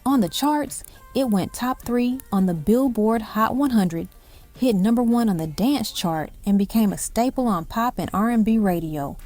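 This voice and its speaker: A woman's voice: fairly high pitch, expressive, normal speed, a little mellow. A woman reading scripted narration for a music countdown, delivered in emphatic, short punchy phrases. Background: faint music under the voice.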